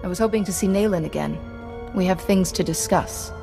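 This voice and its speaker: A woman playing a self-possessed young Jedi apprentice in a video game, speaking in a measured, firm way. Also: on the low side, vocal fry. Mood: defiant. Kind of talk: video game dialogue acting. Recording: echoey hall, music behind.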